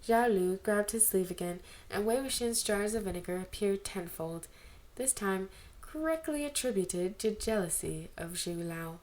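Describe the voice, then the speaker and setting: A woman narrating fan fiction aloud in an expressive, amateur storytelling style. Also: mid-range voice, moderate pace. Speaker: amateur podfic reader. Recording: amateur home recording, background noise.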